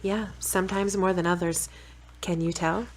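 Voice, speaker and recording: Lower-voiced, frank, wry girlfriend , an actress. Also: fairly even intonation, normal speed, lightly acted. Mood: teasing, affectionate. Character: frank, supportive, wry girlfriend, a radio reporter. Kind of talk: audio drama dialogue.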